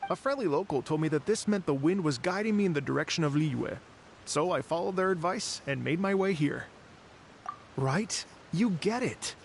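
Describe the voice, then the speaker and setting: A man's voice: on the high side, lively intonation, moderate pace, creaky, slight background noise. An actor playing an earnest, wistful middle-aged traveler in a video game, telling his story theatrically and politely. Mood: hopeful, enthusiastic.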